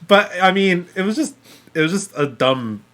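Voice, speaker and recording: Animated man in casual movie chat. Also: high voice, normal speed, crowd noise. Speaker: podcast co-host.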